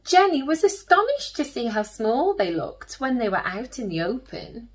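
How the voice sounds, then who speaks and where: A woman reading aloud expressively to young children as a storyteller, gently putting questions to her listeners. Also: fairly high pitch, very animated, normal speed.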